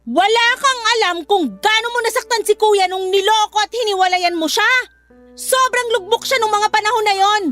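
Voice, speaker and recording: A woman's voice: extremely high pitch, normal speed, fairly bright tone, soft background music. A woman playing an angry, protective younger sister in a Filipino radio drama, speaking in an accusing, emphatic way with a Filipino accent. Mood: hurt, resentful. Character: angry, protective younger sister confronting brother's returning girlfriend.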